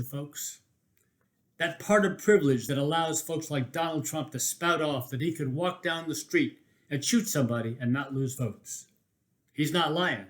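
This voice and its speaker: A man's voice: on the high side, a bit bright. A man reading a first-person essay aloud as an emphatic, rhetorical storyteller. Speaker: reader of a written personal chronicle.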